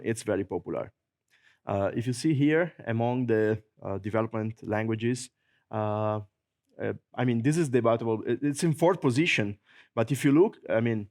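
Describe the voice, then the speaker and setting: A man's voice: lowish voice, a little mellow. Italian-accented man joking through a tech talk. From Italy. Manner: joking, chuckles at own jokes, many filler uhs. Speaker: conference presenter. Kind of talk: technical conference talk. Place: conference hall.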